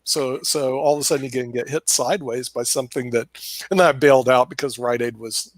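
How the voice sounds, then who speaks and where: A man's voice: mid-range voice, steady tone, brisk, no room sound. A man hosting a casual video call, talking in a rambling way with many fillers, restarts and laughs. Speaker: host.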